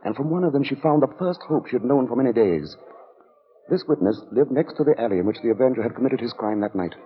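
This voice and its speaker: A man's voice: mid-range voice, animated, fast, close to an everyday voice. A man narrating a suspenseful 1940s radio thriller in a grave, dramatic manner. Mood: measured, tense. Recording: dull, noisy vintage radio recording.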